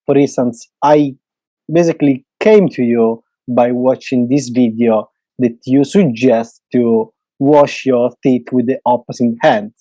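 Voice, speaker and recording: A man's voice: mid-range voice, a little slow, warm tone, no echo, low-quality recording. A man in his twenties from Italy, speaking English with an Italian accent as a podcast host. He is eager and talkative, and he searches for words. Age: 20s. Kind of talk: casual podcast chat.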